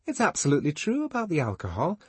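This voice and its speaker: A man voicing an excitable young woman passing on gossip in a rushed way. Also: fairly high pitch, husky, no room sound, pitched above the performer's own voice, heavily acted. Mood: gossipy.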